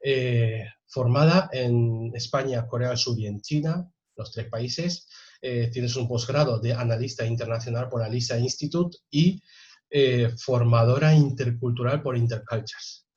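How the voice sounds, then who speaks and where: A man's voice: mid-range voice, a little slow, darkish tone. Guy interviewing someone, kinda dull lo-fi sound, mid voice, bit hesitant. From Spain. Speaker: interviewer, host. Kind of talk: semi-formal conversational interview.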